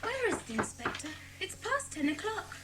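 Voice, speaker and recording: A woman's voice: very high-pitched, sing-song, moderate pace, background noise. A female performer playing an eager, outspoken young schoolgirl in a children's audio drama.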